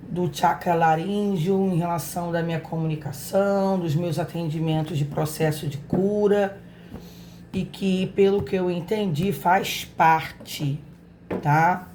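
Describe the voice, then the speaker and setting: Hoarse, slow-talking Brazilian woman. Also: fairly low pitch, dark tone, a little hiss or hum. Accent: Brazilian Portuguese. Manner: affectionate, chatty, talks straight to viewers. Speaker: tarot reader, channel host. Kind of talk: casual spiritual reading to camera.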